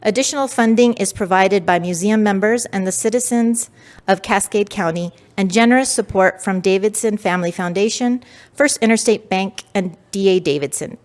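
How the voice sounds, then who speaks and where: A woman's voice: medium pitch, moderate pace. A woman moderating a museum panel, reading a formal list of thanks with small slips. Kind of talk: reading acknowledgments.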